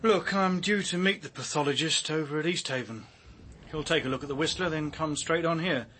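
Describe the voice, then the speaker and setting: An actor playing a gruff, sardonic male police inspector in a radio drama, speaking in a blunt, wry, clipped way. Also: on the high side, brisk, bright, forceful tone, slight background noise. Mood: probing, wry. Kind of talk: acted British radio drama dialogue.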